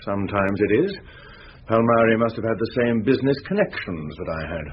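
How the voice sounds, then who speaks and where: A man plays a touchy, flashy salesman in a radio drama. His voice is on the low side and animated, with indignant, clipped courtesy. The recording sounds a bit muffled. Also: a little fast, slight background noise, lightly acted. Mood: defensive, indignant. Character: prickly, defensive, flashy male sales representative.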